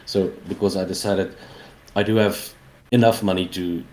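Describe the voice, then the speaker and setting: A man's voice: sits low, fairly even intonation, moderate pace, a little mellow, low-quality recording. A South African man in his forties, a native Afrikaans speaker, chatting casually as a game developer being interviewed. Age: 40s.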